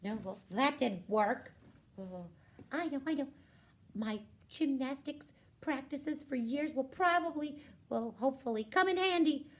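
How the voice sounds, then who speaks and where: A woman's voice: high voice, expressive, a little slow, a little hiss or hum. A woman voicing a dramatic, fussy and frank young princess in a children's puppet show. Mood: exasperated.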